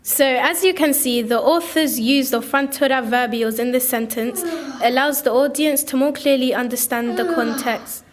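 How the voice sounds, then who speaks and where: Girl playing a high-voiced stern teacher. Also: normal speed, natural voice. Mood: stern, sarcastic. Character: stern, sarcastic female primary school teacher. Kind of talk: scripted radio play acting.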